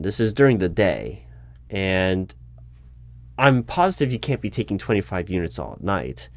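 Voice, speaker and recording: Guy doing a hiring manager in an asmr job interview roleplay, kinda deep voice, dry sound, polite and awkward, stammers a bit, not whispering. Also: no echo. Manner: hesitant, stammering, diplomatic. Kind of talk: one-sided ASMR roleplay, spoken aloud.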